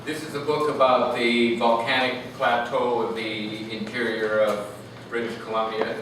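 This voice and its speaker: A man speaking as a poet at a live reading, in a measured, deliberate manner. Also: fairly low pitch. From Canada. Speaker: poet reading from own work. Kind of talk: live poetry reading with informal anecdotes. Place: echoey room at a live reading. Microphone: distant microphone. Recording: distant, echoey room with noisy background.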